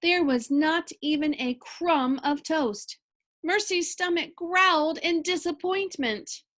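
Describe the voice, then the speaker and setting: A woman reading a children's story aloud with expressive narration. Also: high voice, takes it slowly.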